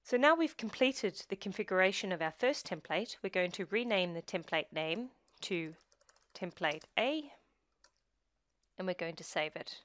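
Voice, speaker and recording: A woman presenting a software tutorial in scripted screencast narration, giving measured step-by-step instruction. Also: medium pitch, lively intonation, no echo.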